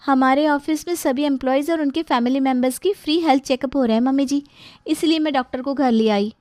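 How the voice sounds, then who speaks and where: A woman's voice: high voice, brisk, a little mellow, no echo, studio quality, pitched above the performer's own voice, natural voice. A woman voicing an overworked, resentful young working daughter-in-law with a complaining, pointed manner. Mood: slyly matter-of-fact.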